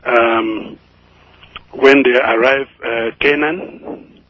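A man from Nigeria with a Nigerian accent, calling in to a radio show, speaking slowly and carefully with many fillers. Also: medium pitch, fairly even intonation. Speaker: caller. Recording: muffled phone line.

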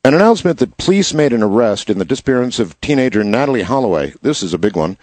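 A slightly hoarse man hosting a show, reading news headlines in a casual style and adding wry asides. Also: mid-range voice, very animated, quick pace, a little mellow, dry recording. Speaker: show host.

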